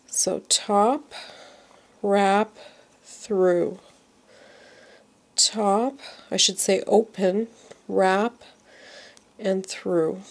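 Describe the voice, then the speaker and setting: A woman's voice: medium pitch, fairly even intonation, drawn-out words, long pauses. A woman teaching knitting step by step, sounding congested from a cold and repeating each move patiently. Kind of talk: slow step-by-step craft tutorial.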